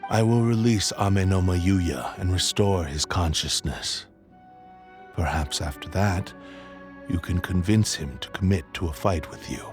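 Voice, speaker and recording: A man's voice: low voice, unhurried, music in the background. A man playing the proud, solemn spirit of a cursed samurai sword in a video game, speaking in formal, archaic phrases. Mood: solemn, resolute. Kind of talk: dramatic video game voice acting.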